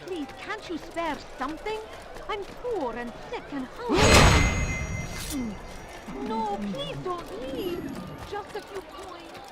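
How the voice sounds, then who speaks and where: A very high-pitched beggar woman for a video game. She pleads slowly and wails, sounding poor, sick and desperate for food. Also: lively intonation, exaggerated voice. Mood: pleading. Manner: pleading, wailing.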